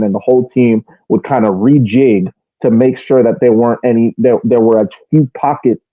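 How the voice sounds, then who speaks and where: A man's voice: medium pitch, no echo. Man on a thin, phone-like line, analysing football. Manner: halting, repeats and restarts phrases while building detailed points. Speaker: podcast co-host. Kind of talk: casual football match analysis. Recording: thin phone-like band-limited audio.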